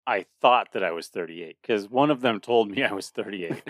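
A man's voice: lowish voice, moderate pace, dry recording, studio quality. A man in his late thirties co-hosting a podcast in a casual chat, rambling with frequent restarts and laughter. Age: 30s. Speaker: podcast co-host.

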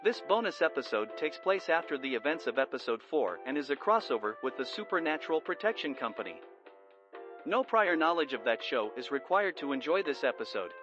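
A man's voice: a little high, big pitch swings, brisk. A man reading a scripted episode announcement. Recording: dull band-limited sound under music. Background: music.

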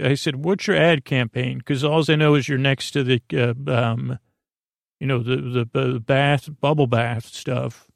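A man hosting a bedtime podcast in a meandering, halting monologue, doubling back on phrases with deadpan whimsy. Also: mid-range voice, vocal fry, no echo, studio quality. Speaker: podcast host.

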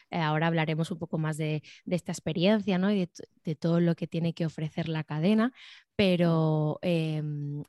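A woman's voice: on the low side, dark tone, dry recording, clean studio sound. A woman from hosting a conversational podcast interview, chatty and feeling her way through her phrasing. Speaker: podcast host.

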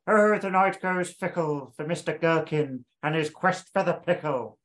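A man's voice: on the high side, slow, fairly bright tone. A man playing a mischievous, crude male pixie thief in a comic audio story, talking in sing-song rhymes. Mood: gleeful singing.

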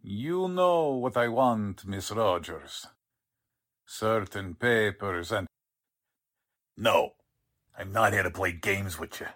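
A man acts out a menacing radio-drama con man posing as a count. His voice is very slow and deliberate, fairly low, with a bright, forceful edge. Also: lively intonation, heavily acted. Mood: cold menace. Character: violent menacing male con artist posing as a Hungarian count. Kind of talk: 1940s radio drama acting.

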